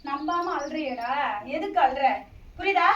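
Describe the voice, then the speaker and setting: A woman's voice: sits high, unhurried, fairly bright tone. A woman voicing an insistent snake goddess. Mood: commanding. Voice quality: scolding, repetitive, insistent. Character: snake goddess speaking through a woman in trance. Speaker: spirit medium. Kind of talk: trance oracle speech. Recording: echoey, dull, from a distance.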